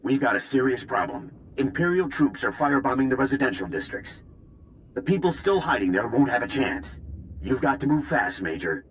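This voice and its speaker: A man's voice: mid-range voice, very animated, normal speed, a little hiss or hum, close to an everyday voice, rough recording. A man voicing an earnest, worried resistance ally in a video game, speaking with urgency and passion. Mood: urgent, pleading. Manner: urgent, impassioned.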